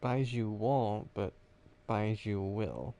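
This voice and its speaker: Man, mellow tone, vocal fry, casual gamer chatter, muttered thinking aloud. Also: lowish voice, slow pace. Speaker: streamer. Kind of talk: casual gaming stream chatter.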